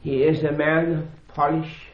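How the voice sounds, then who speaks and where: An actor playing a frail, dying old scientist in a radio adventure serial, suspicious and obsessive, with halting, formal, theatrical delivery. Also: male voice, a little high, level tone, lightly acted. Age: older. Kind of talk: old-time radio adventure drama acting. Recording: dull, roomy vintage radio recording.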